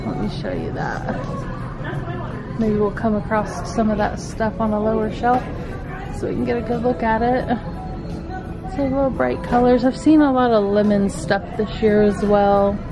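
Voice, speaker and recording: Slow, chatty woman browsing a decor shop. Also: medium pitch, poor audio. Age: middle-aged. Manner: chatty, points out prices and cute items. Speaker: vlogger. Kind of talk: shop-with-me vlog. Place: home decor store, indoors. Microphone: handheld camera. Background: background music.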